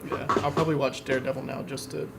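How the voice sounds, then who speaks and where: A man's voice: mid-range voice, little pitch movement, very fast. A man taking part in a podcast, speaking in casual, conversational chat. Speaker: podcast participant. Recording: clearly noisy room recording.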